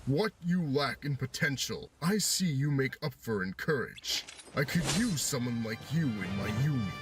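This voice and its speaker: A man's voice: mid-range voice, lively intonation, moderate pace, close to an everyday voice. A man acting a haughty, menacing union leader in a theatrical game fandub. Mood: imperious, menacing. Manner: pompous, theatrical. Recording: far from mic under loud music. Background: loud game music.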